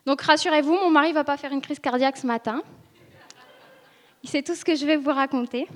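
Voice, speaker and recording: A woman in her thirties, from northern France, speaks with a high voice. She shares her testimony with a church audience, earnest and hesitant, pausing and restarting often. Her voice carries a long reverb from the hall. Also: fairly even intonation. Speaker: church member giving testimony. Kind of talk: personal testimony to a congregation. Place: church ministry school meeting room. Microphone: seated speaker, filmed for video.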